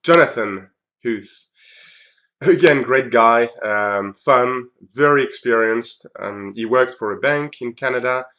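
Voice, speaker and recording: A man's voice: medium pitch, slow, sharp tone. A man giving a chatty conference recap on his video blog, with many ums and uhs. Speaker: video blogger.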